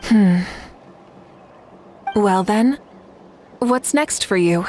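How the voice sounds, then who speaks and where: A woman voicing a confident, gracious female leader in a game cutscene, speaking in a warm, composed and courteous manner. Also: medium pitch, animated, drawn-out words, faint music behind. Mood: curious.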